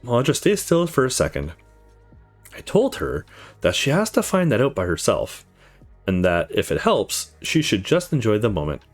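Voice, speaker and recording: A man's voice: medium pitch, huge pitch swings, normal speed, faint music behind. A man reading aloud as a brooding, introspective young visual novel protagonist, reflective and earnest. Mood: reflective, tender.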